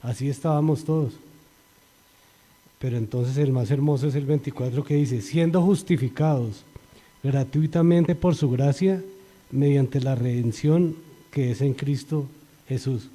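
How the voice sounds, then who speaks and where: A middle-aged Colombian man gives an earnest spoken testimony, his very dark, mellow voice lifting and swelling whenever he quotes scripture. It rings out in a hall with long reverb, and his pitch stays in the middle range for a man. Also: unhurried. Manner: earnest, rises and grows louder when quoting scripture. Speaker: church member giving testimony.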